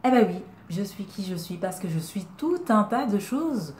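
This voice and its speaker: A young woman from Congo talking to her webcam in a small room, earnest and reflective, pausing often and asking rhetorical questions. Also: medium pitch, big pitch swings, a little mellow, reverberant, slight background noise. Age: young adult. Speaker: vlogger. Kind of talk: personal talk to camera. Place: indoors, small room, plain wall. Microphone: webcam at desk distance.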